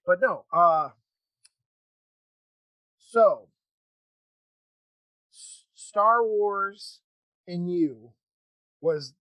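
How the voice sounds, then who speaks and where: A man hosting a casual interview chat, talking in a halting, rambling way with false starts. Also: high voice, bright, forceful tone, no echo, rough recording. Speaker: podcast host.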